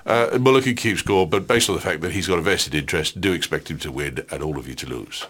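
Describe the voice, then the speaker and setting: A man's voice: deep voice, fast talker, fairly bright tone. A man hosting a casual quiz show as Santa, with teasing, jokey banter. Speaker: quiz host.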